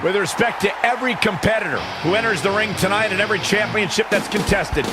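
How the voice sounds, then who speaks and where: A man playing a grand, excited play-by-play wrestling commentator in a video game. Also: high voice, fast talker, natural voice. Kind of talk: sports commentary. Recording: loud music behind commentary.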